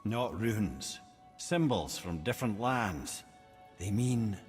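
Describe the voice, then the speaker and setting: An actor playing a wry, learned old advisor in a video game, with a Scottish accent and a wordy, musing, theatrical way of talking. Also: male voice, fairly low pitch, animated, slow pace. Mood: intrigued. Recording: long reverb, music underneath. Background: music.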